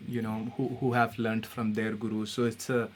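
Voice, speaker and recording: A man from Kerala, a classical singer, explaining his tradition in a relaxed, playful way. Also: lowish voice, normal speed, a crowd in the background. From Kerala, India. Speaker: guest, classical vocalist. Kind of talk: casual interview chat.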